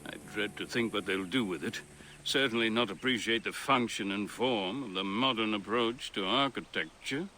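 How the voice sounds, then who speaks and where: An actor playing an effusive Roman architect and scholar, speaking in a grandiloquent, theatrical way for a video game. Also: male voice, fairly low pitch, normal speed, sharp tone, soft background music. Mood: indignant. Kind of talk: video game cutscene acting.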